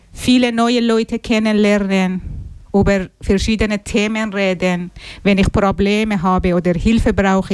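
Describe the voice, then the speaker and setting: A woman from Afghanistan, a guest on a radio interview, answering in simple learner's German and pausing to search for words. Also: medium pitch, a little mellow, smooth, no room sound.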